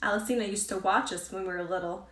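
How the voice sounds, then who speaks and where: A woman's voice: medium pitch, fairly even intonation, fast talker, natural voice. A woman voicing a wistful, nervous young woman in a storybook, hesitant and earnest. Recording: roomy echo, distant from mic.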